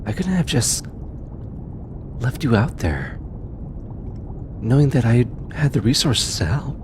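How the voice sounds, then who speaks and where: A man voicing a gentle, teasing alien researcher in an intimate ASMR roleplay, hesitant and affectionate. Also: medium pitch, very animated, takes it slowly, noisy background. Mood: reassuring. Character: gentle, teasing, grateful male alien researcher aboard spacecraft.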